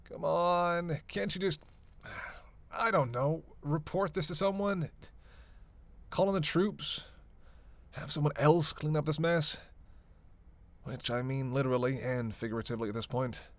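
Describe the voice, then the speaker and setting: An actor playing a reluctant, sardonic vampire in an audio roleplay, sarcastic and grumbling, swearing freely. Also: male voice, mid-range voice, level tone, quick pace, few pauses, a little mellow, no room sound, close to an everyday voice. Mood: exasperated, grumbling. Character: sardonic, reluctant male vampire, hungry, cursing, teasing. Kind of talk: solo audio roleplay addressed to the listener.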